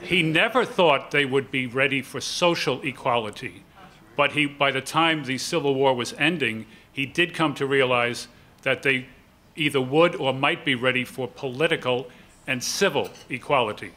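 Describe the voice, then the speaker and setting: An older man moderating a public panel discussion, explaining in a measured, hesitant way into a table microphone. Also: mid-range voice, bright, forceful tone. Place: lecture hall, at a panel table.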